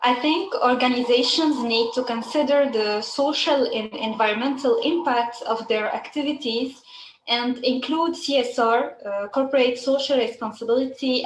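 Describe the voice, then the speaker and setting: Higher-voiced woman. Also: normal speed, reverberant, rough recording. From Morocco. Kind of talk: short recorded statement.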